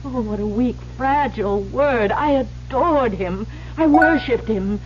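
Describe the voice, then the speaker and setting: An actress playing a bitter, obsessive secretary turned blackmailer in an old radio thriller, accusing and melodramatic. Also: female voice, on the high side, measured pace, lightly acted. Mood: bitter, impassioned. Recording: dull, noisy old radio broadcast.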